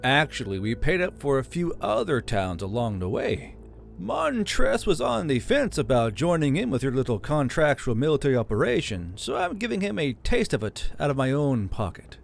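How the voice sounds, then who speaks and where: Man reading smug male city lord, nonchalant, gloating, lively pitch, gravelly, audiobook. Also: normal speed, soft background music.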